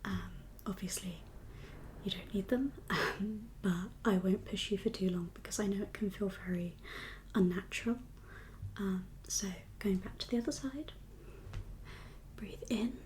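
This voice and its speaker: A woman whispering an ASMR medical roleplay as a kindly doctor, gentle and reassuring in an unhurried, professional way. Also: medium pitch, a little hiss or hum. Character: kindly doctor for undead patients. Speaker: ASMR performer playing a doctor.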